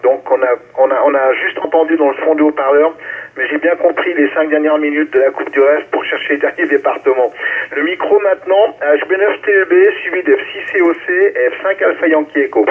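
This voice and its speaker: A man's voice: fairly high pitch, fairly quick. A man acting as controller of an amateur radio round-table, handing the microphone along with call signs. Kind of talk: ham radio relay chat with call signs. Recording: phone-like, narrow amateur radio relay audio.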